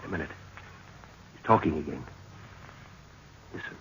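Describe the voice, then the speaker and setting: Casting: older jungle expedition chief, very low forceful voice, clipped commands, noisy vintage radio serial. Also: male voice, normal speed. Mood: concerned. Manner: clipped, commanding, old-fashioned exclamations. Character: authoritative older male expedition leader, calm but concerned. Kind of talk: 1940s radio acting. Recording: muffled, noisy old radio recording.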